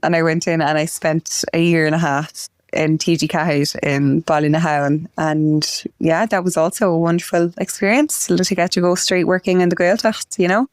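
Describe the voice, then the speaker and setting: An Irish woman in her late twenties, a guest in an interview, talking in a chatty way full of "like" and quick asides. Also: sits low, steady tone, clean tone, no room sound, clean studio sound. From Ireland. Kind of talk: conversational interview.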